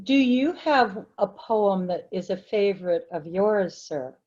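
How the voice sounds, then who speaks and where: A woman's voice: mid-range voice, lively intonation, a little slow, a little mellow. A woman speaking courteously and carefully as a participant in a book discussion over a video call. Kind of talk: polite discussion question. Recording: dull lo-fi video call audio.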